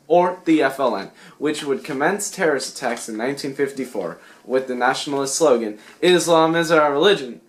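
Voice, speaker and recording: A man's voice: a little high, a bit bright. A young man narrating a scripted student history puppet show, with wry, theatrical asides. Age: young adult.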